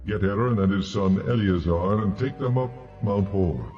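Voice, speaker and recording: A male actor as a stern God in an audio Bible drama, low in pitch and solemn. He pronounces his words with measured, commanding authority. The sound is slightly dull, with music underneath. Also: natural voice. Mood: stern, grave.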